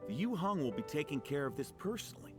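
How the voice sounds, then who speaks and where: A man's voice: a little high, sing-song, fast talker, over music. A man playing a self-assured escort guard in a video game cutscene. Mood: confident, reassuring.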